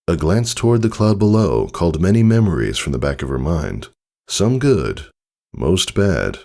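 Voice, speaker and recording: A man reading a story as a dramatic narrator, in deliberate phrases with weighted pauses. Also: a bass voice, darkish tone. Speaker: fan fiction reader, narrator.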